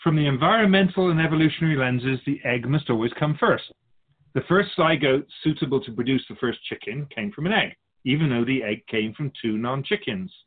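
A man's voice: mid-range voice, fairly quick, narrow, tinny audio, lightly acted. A man playing a wise, wry otherworldly spirit guide in a radio drama, teaching in formal, didactic phrases. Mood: wry, teasing. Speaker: spirit teacher answering questions. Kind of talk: scripted radio drama dialogue, expository.

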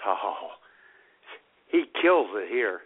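A man's voice: lowish voice, very animated, extremely slow, slightly rough. A man co-hosting a comedy radio show, chatting casually in a folksy, irreverent storytelling way. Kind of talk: casual joking banter. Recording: narrow phone-like audio.

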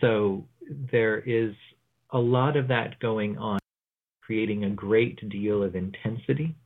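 Male radio host, lowish pitch, reflective off-the-cuff monologue, measured and pausing, narrow telephone sound. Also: fairly even intonation. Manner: measured, pausing mid-phrase. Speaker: tarot reader. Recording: thin phone-like audio.

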